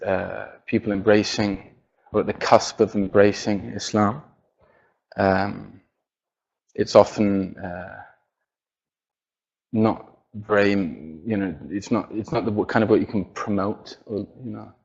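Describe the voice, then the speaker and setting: A man with a quiet voice giving a religious lecture to an audience, hesitant, with many fillers and restarts. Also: lowish voice, creaky. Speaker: speaker giving a talk. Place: university hall. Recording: dull lo-fi sound.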